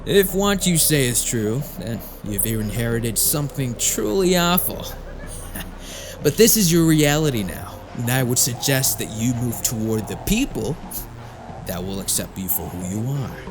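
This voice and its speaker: Music plays strongly behind a hardened male exorcist voiced by an actor. He is a stern warrior mentor with an average-pitched voice, lecturing bluntly and with weight, as in a fantasy audio drama. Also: lively intonation, unhurried, close to an everyday voice, low-quality recording. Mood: somber, consoling.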